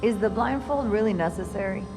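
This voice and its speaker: A woman's voice: mid-range voice, a little fast, natural voice. An American actress playing a dry, skeptical, seasoned policewoman in naturalistic video game dialogue. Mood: surprised, wary. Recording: reverberant hall, prominent music underneath.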